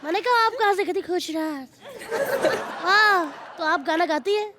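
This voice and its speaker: A woman hosting a comedy show, teasing guests and the audience with quick playful jokes. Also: very high-pitched, over a noisy crowd. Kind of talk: teasing stand-up banter with audience. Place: TV studio stage with live audience. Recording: loud studio audience and noise.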